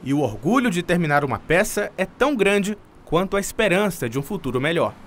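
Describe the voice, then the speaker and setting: Brief: male TV reporter, high and animated voice, scripted narration. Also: fairly quick, slight background noise. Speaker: TV news reporter.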